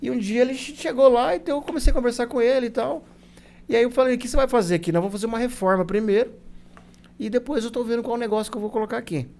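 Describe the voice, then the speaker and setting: A man's voice: very high voice, very fast, creaky, darkish tone. A Brazilian man telling personal stories as an interview guest, in a colloquial, casual way with lots of quoted dialogue. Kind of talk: casual anecdotal storytelling.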